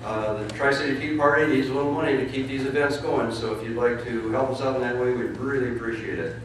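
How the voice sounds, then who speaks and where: A polite man runs a public forum, calling on the audience in a mid-range voice. He sounds distant in a very echoey hall with faint noise. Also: medium pitch. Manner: polite, brisk, announcing. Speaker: forum moderator.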